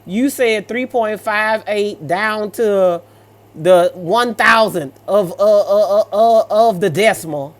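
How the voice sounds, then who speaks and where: A woman's voice: medium pitch, slow. A middle-aged woman, reading stories and reacting in a casual, sassy, motherly, colloquial way. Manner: motherly, sassy, colloquial, addressing listener as baby. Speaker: host of a story-reaction show.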